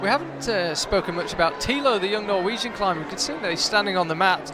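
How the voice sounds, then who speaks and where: Guy doing live climbing commentary, kinda high voice, chatty, loud crowd in a big hall. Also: expressive, quick pace, noisy background. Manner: chatty, analytical, thinks aloud with restarts.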